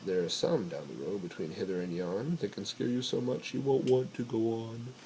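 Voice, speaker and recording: A man reading a children's picture book aloud in an expressive, playful, rhythmic way with dramatic pauses. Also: fairly low pitch. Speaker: bedtime story reader. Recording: noisy amateur home recording.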